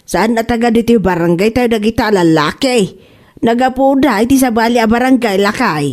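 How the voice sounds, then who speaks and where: A woman's voice: on the high side, normal speed, darkish tone, natural voice. A woman playing a nagging middle-aged mother and wife in an Ilocano comedy drama, with a Filipino Ilocano accent.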